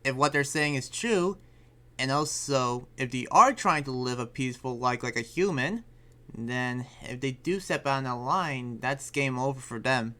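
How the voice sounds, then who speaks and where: Man acting as male demon slayer researcher, earnest, conflicted, determined loner, hesitant rambling delivery, anime game roleplay, mid-pitched. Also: unhurried, sharp tone. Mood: conflicted, firm. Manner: hesitant, rambling, thinking aloud.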